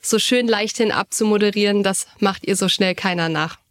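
A woman's voice: mid-range voice, moderate pace. A woman co-hosting a podcast, speaking articulately and appreciatively in casual conversation. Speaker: podcast co-host.

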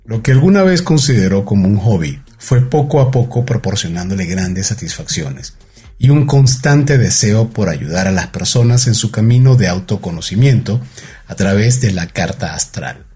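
A man hosting a corporate podcast, reading a measured, formal introduction before chatting with his guest. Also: fairly low pitch, expressive, warm tone, breathy edge, soft background music. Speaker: podcast host.

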